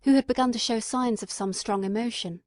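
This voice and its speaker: A woman's voice: fairly high pitch, brisk, a little mellow, no echo. Woman narrator, dry close sound. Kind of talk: scripted audiobook narration.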